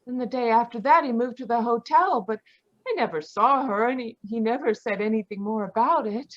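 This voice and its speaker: A high, feminine voice plays the bereaved daughter of an old radio mystery as acted by a woman. She is polite and distraught, in the melodramatic manner of vintage radio. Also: a little fast, muffled recording, close to an everyday voice. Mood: subdued, explaining. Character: distraught, polite grown daughter of murdered man. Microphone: video call.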